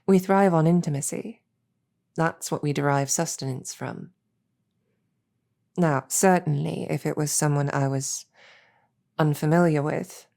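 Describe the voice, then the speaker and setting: A woman voicing an affectionate, teasing female succubus demon in a soft, intimate roleplay audio drama. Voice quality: breathy, soft, low. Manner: teasing, intimate, unhurried, halting. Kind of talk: roleplay audio drama, whispered sleep-aid ASMR.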